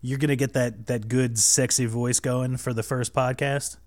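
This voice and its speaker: A man's voice: mid-range voice, fairly even intonation, normal speed, darkish tone. A man hosting a casual podcast, rambling with many fillers and restarts, a little nervous, on a cheap microphone. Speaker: podcast host.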